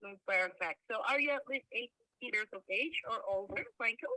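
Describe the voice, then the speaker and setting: A woman calls to run a phone survey and reads politely from a script. Her voice is high and bright. The sound is dry and close. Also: brisk, dry recording, rough recording.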